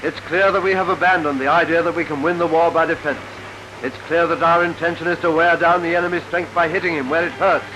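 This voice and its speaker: A man's voice: a little high, fairly even intonation, quick pace, background noise. A British man reading scripted wartime newsreel commentary in a rousing, declamatory manner, on a vintage 1940s film soundtrack. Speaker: newsreel narrator. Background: loud newsreel music.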